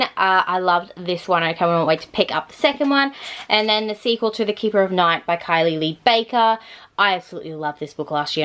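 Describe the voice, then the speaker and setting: Casting: female vlogger, gushing and enthusiastic, mid-pitched, casual chat straight to camera. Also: fairly bright tone. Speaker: book reviewer vlogger.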